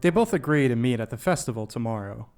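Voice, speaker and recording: A man's voice: medium pitch, lively intonation, fast, a little mellow, smooth. Man narrating a video essay over music. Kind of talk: scripted narration of a game review. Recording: music behind the voice. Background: background music.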